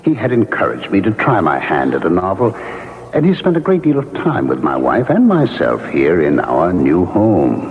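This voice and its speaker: Mournful gentleman ghost, low and creaky, formal storytelling for vintage radio drama. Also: huge pitch swings, full character voice. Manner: formal, measured, hesitant storytelling. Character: ghost of a murdered, betrayed would-be novelist, gentle and mournful. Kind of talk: classic radio drama acting. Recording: lo-fi vintage radio, long echoing reverb.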